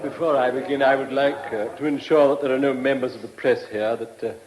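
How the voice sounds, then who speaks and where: An actor playing an officious, patronising ministry bureaucrat in a radio play, pompous and halting. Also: male voice, mid-range voice, fairly even intonation, natural voice. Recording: echoey hall in a radio drama.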